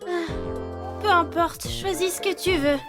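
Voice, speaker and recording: A woman voicing an anxious, bewildered young newcomer in an animated fan dub, nervous and hesitant with stammers. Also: very high-pitched, measured pace. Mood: flustered, distressed. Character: anxious, bewildered young female newcomer trapped in cartoon circus. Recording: clear music behind the voice.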